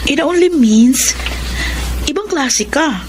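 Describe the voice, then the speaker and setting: Gossipy high-voiced Filipina friend. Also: female voice, animated, slow pace, background noise. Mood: knowing, gossipy. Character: gossipy, knowing young female friend. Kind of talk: Filipino radio drama acting.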